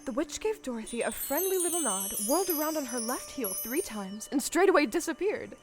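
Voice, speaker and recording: A woman playing a measured, gentle storybook narrator in a scripted audio play. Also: high-pitched, big pitch swings, music in the background. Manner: measured, clearly phrased.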